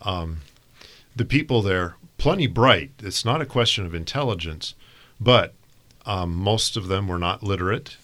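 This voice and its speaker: Low-voiced man moderating a Bible discussion. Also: expressive, unhurried, a bit bright. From northern Thailand. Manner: asks questions, reads scripture aloud. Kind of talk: panel Bible study discussion.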